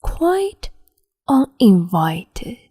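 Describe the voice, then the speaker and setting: A woman voicing a cruel, capricious empress in an ASMR roleplay, speaking slowly and haughtily in a half-whisper close to the microphone. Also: mid-range voice, very animated, mellow, breathy edge, no room sound, exaggerated voice. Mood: haughty, taunting.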